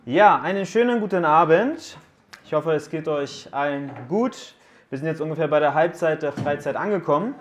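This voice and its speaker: A man's voice: fairly high pitch, animated, normal speed. A man in his thirties gives a casual talk to young people at a camp, joking and asking the audience questions. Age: 30s. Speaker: youth speaker at a camp. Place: room with a live audience.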